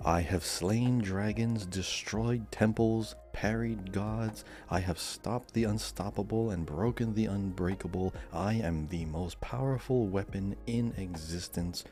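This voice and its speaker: Boastful ancient weapon, acted by a man, low and slow, grandiose riddle recitation. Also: a little mellow, soft background music, natural voice. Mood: solemn boasting.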